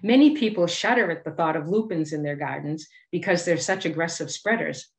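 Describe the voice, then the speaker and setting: Female presenter, middle-aged, Boston accent, lowish voice, measured scripted delivery for an educational slide talk on video call. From Boston area, United States. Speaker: master gardener giving a presentation.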